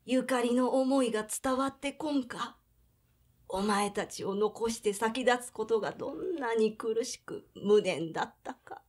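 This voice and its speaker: A woman's voice: fairly high pitch, a little mellow. An actress playing a plain-spoken grandmother in her eighties in an audio drama, reminiscing. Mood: wistful. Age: 80s. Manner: slow, reminiscing, folksy. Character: elderly Japanese grandmother, plain-spoken, reflective, devoted to family.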